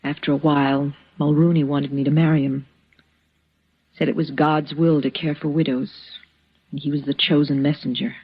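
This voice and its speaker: Weary, grateful young frontier mother, husky and hesitant, dull vintage radio drama sound. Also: low voice, flat delivery, moderate pace. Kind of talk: 1950s radio drama acting. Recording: dull old radio recording.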